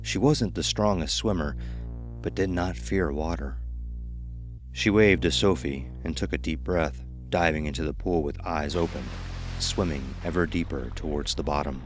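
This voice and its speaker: A male narrator with an extremely deep, low voice reads a scripted horror tale. Music plays behind him. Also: normal speed.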